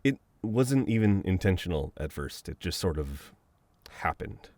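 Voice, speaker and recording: A man's voice: low-pitched, very animated, unhurried, a little mellow, no echo, heavily acted. A man acting a flustered, teasing best friend with a dominant streak in an intimate, conversational ASMR roleplay, often sounding exasperated. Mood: reluctant, awkward. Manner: conversational, exasperated, breaking into chuckles and sighs.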